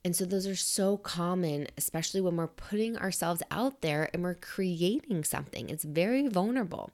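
Low, gravelly-voiced woman, gentle and encouraging. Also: animated, moderate pace. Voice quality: scratchy, a little raspy, slightly nasal. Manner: gentle, encouraging, thinks aloud with frequent "right?". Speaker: podcast host. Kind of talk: reflective motivational talk.

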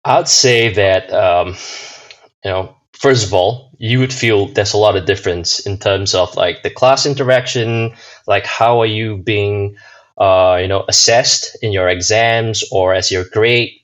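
A man's voice: on the low side, unhurried, fairly bright tone. A man from Singapore, a podcast guest, answering casually with many fillers and restarts. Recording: dull lo-fi sound.

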